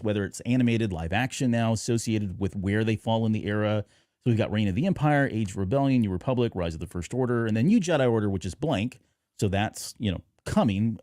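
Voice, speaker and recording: A man's voice: on the low side, mellow, dry recording, clean studio sound. A middle-aged man hosting a podcast, chatting casually and informally, often doubling back on the phrasing. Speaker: podcast host.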